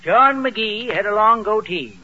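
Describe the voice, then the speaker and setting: A deadpan old rustic farmer from a forties radio comedy. The voice is high and forceful, with dry, matter-of-fact boasting. The recording is dull and old. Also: male voice, measured pace, exaggerated voice. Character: deadpan old New England-style rural farmer. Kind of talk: 1940s radio comedy acting.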